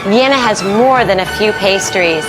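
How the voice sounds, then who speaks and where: A woman's voice: mid-range voice, moderate pace, loud music behind the voice, poor audio. A woman hosting a travel show, giving cheerful, scripted narration.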